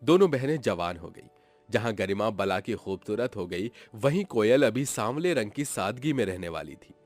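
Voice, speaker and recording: Male narrator, animated delivery, dramatic family moral tale, soft music bed. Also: lowish voice, moderate pace. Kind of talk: dramatic scripted story narration.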